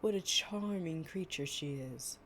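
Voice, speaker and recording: A woman voicing a sly male fox who flatters sweetly in a storybook fable. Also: sits low, a little slow, a little hiss or hum. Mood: flattering.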